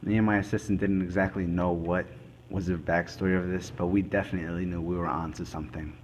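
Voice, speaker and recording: A man acts as a grave, methodical male investigator in an amateur crime drama monologue. The voice is low, and the phrasing is halting and deliberate. Faint noise sits behind the recording. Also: little pitch movement, close to an everyday voice. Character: male forensic investigator recounting a murder case, grave and methodical. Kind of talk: scripted dramatic monologue, amateur student production.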